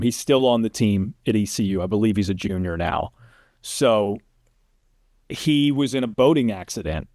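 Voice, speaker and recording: A man's voice: mid-range voice, darkish tone, dry recording, studio quality. A man who works as a sports announcer, telling stories informally as an interview guest and often checking in with the listener. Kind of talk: casual interview storytelling.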